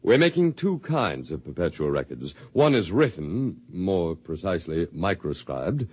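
An actor in a 1950s radio drama playing a smug, condescending bearded scientist with formal, smooth diction. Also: male voice, low-pitched, sing-song, measured pace, darkish tone, no room sound, heavily acted. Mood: patronizing. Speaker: head of the archive project.

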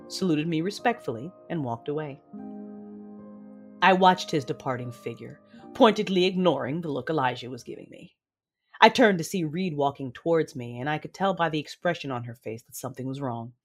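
A woman playing a conflicted, commanding Sith noblewoman in an audio drama, measured and controlled, with dramatic delivery. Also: low-pitched. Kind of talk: first-person audio drama narration and dialogue. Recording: music behind the voice.

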